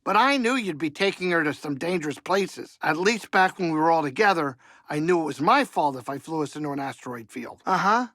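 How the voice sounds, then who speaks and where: An actor playing a fussy, good-hearted middle-aged alien pilot and ship's cook in a video game, with a gravelly voice and a folksy, fretful, chatty manner. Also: male voice, fairly high pitch, lively intonation, brisk, hardly any pauses, sharp tone, no room sound. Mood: fussing, teasing. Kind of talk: video game character acting.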